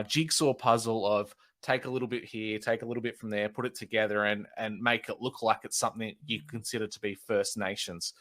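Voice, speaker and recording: A man speaks with a low voice as a podcast guest. He talks in a thoughtful way and keeps raising questions as he goes. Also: a bit bright. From Australia. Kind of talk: casual discussion.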